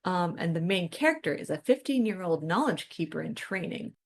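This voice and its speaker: A woman's voice: on the low side, lively intonation, brisk. A woman co-hosting a podcast, talking in a casual, informal and reflective way. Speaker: podcast co-host.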